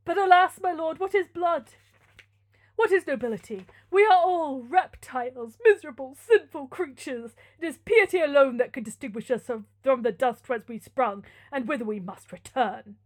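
Lady doing a desperate old friar, gothic melodrama, begging hard, high voice. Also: lively intonation, normal speed. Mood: fervent. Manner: fervent, melodramatic, imploring. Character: anguished, pious old friar pleading as a father. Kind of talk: gothic novel read aloud.

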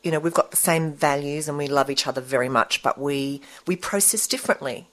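Low-voiced earnest woman guest. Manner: earnest, reflective, speaks in halting restarts. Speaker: guest entrepreneur. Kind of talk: interview conversation.

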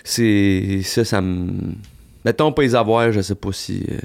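A man with a Québécois French accent, a country singer talking as a podcast guest in a relaxed, slangy way full of fillers and the odd swear. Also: lowish voice, unhurried, vocal fry. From Quebec. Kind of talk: casual podcast chat.

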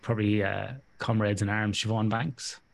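A man's voice: on the low side, flat delivery, normal speed, vocal fry, crowd noise. A man with an Irish accent hosting a podcast in a casual, joking, teasing interview style. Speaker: podcast host.

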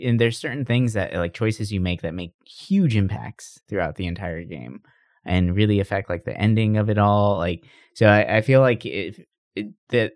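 A man's voice: lowish voice, no echo, clean studio sound. A man talking casually about video games as a podcast co-host, explaining things in a colloquial way.

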